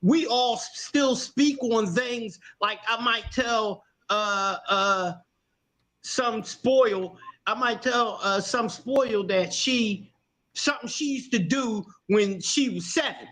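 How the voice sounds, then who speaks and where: Very high-voiced man in his fifties. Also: slow pace, fairly bright tone, low-quality recording. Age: 50s. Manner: informal, emphatic, repeats himself for emphasis. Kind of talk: casual livestream chat.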